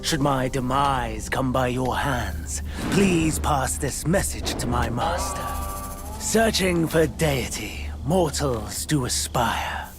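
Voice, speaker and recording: A man's voice: medium pitch, animated, takes it slowly, over music. A man voicing a humble yet bitter monster monk in a game, with formal, archaic, theatrical delivery. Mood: formal, foreboding. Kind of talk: game cutscene voice acting.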